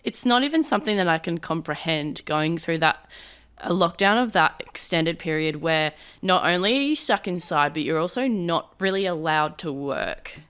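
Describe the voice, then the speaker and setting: A woman's voice: fairly low pitch, lively intonation, moderate pace, a bit bright, smooth. A woman from Queensland hosting a casual podcast interview, chatty and rambling with restarts. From Queensland, Australia. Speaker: podcast host. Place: small office studio.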